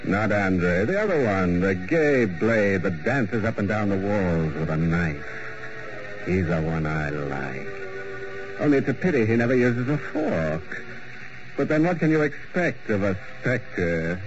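A man's voice: sits low, big pitch swings, unhurried. A man hosting a 1940s radio mystery show in a sly, mocking manner full of grim jokes. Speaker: program host and announcer. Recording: muffled old radio broadcast with faint hiss.

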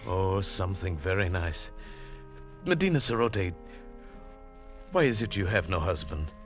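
A man's voice: low-pitched, animated, a little slow. An actor playing a desperate, hot-tempered trapped man, in a theatrical mid-century radio drama style. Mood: weary, subdued. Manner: intense, theatrical. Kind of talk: 1940s-50s radio drama acting and narration. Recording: old radio broadcast with music underneath.

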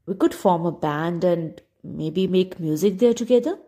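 A woman's voice: fairly low pitch, unhurried, dark tone, natural voice. A woman doing a kindly old donkey. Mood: sympathetic. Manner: sympathetic, encouraging. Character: old, tired but kindly male donkey.

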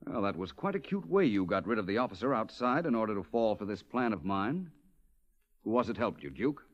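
Suave deep-voiced 1940s radio detective. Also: male voice, big pitch swings, fairly quick. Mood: coolly accusing. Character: suave, clever, self-assured male detective. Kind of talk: 1940s radio drama acting. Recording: muffled old 1940s radio recording.

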